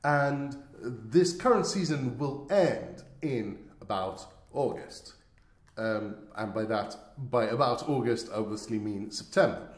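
A man's voice: medium pitch, expressive, measured pace. A man hosting a podcast casually, wry and often searching for his words. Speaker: podcast host. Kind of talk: casual, unscripted show introduction. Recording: roomy, echoing sound.

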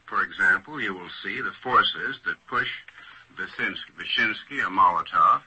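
American male scholar for vintage radio panel: low, very bright, forceful voice, formal and measured, faintly noisy old recording. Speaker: academic panelist. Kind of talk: 1940s radio panel discussion.

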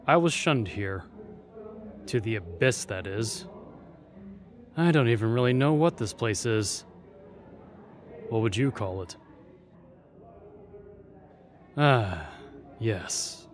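A man playing a jaded, defiant young spy at a royal court in an audio roleplay, sardonic and guarded. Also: mid-range voice. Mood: wry, guarded. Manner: sardonic, bitter, guarded, with pauses.

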